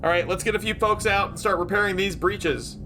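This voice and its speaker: A man's voice: high-pitched, fairly even intonation, brisk, music in the background. A man talking casually as a player in a tabletop role-playing game, calling out choices and dice.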